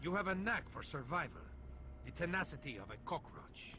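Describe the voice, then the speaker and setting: A man's voice: on the high side, very animated, normal speed, background noise. An actor playing a suave, mocking male resistance leader, theatrical and taunting, in a video game cutscene.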